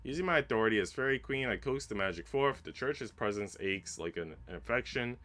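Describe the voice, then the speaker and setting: A man reading a visual novel aloud as a streamer, in an expressive, dramatic narration that drops hushed and rises with emphasis. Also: medium pitch, fairly quick. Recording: faint game music behind. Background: game music.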